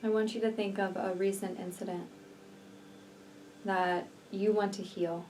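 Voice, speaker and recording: A woman leading a guided healing meditation in a slow, soothing, deliberate manner with long pauses between phrases. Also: medium pitch, flat delivery, darkish tone. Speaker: energy healer and meditation guide. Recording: distant mic, faint background noise.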